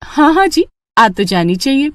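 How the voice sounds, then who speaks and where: A woman's voice: high-pitched, sing-song, a little slow, a bit bright, dry recording, voice pushed up, full character voice. A woman voicing a young daughter-in-law in a moral story cartoon, deferential and eager on the surface, a little sly. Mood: evasive, polite. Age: young adult.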